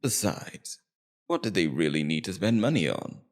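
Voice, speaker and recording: Man voicing a deep, gravelly, smug Demon King. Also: big pitch swings. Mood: cynical, scornful. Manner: theatrical, taunting, drawn-out deliberate emphasis. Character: scheming immortal Demon King, smug, persuasive, coldly calculating villain. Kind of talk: ASMR roleplay, scripted villain monologue.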